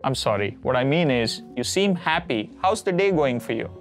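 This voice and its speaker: A man in his forties, a native Konkani speaker, narrates a software demo in a steady, explanatory manner. His voice sits at a medium pitch, with faint music running underneath. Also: moderate pace. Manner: steady explanatory, occasional "uh" fillers. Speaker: cloud product presenter. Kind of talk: scripted tech demo narration. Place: studio set with map backdrop.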